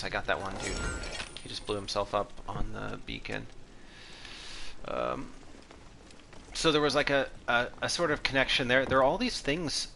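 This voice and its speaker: A man from Texas, an Egyptologist, chatting casually about history while playing a game, rambling with lots of fillers. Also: mid-range voice. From Texas, USA. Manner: rambling, filler-heavy, thinks aloud. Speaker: Egyptologist streamer. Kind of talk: casual gaming commentary with history asides. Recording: steady background noise.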